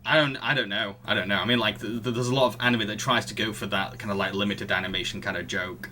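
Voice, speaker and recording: A man's voice: on the low side, fairly even intonation, fast talker, sharp tone, slight background noise. A young man hosting a casual film discussion podcast. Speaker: podcast host.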